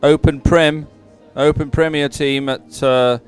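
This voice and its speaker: A man giving live sports commentary in a chatty, somewhat halting announcing style. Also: on the high side, fairly even intonation, clean tone, faint music behind.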